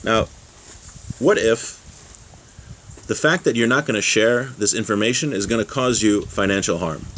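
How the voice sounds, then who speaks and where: Low-voiced man teaching a religious class. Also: moderate pace, long pauses, fairly bright tone, slight background noise. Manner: explanatory, with rhetorical check-ins like "right?". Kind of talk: informal lesson, reading a text aloud and explaining it.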